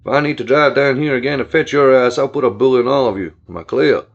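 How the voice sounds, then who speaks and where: A man's voice: mid-range voice, normal speed, natural voice. A man voicing a cold, callous criminal who threatens his cousin. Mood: menacing. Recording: dull lo-fi sound with faint music.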